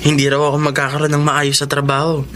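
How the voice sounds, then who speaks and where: A man's voice: a little high, completely flat delivery, fast talker, fairly bright tone, slight background noise, lightly acted. An actor playing a humble, wounded young man in a Filipino radio drama, plaintive and earnest, with a Filipino accent. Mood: shyly pleased. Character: wounded, hardworking young man scorned since childhood.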